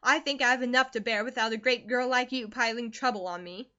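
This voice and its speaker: A woman reading aloud and voicing a harsh, scolding middle-aged farm mother who speaks sharply and loudly, in a dull lo-fi recording. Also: fairly high pitch, a little fast.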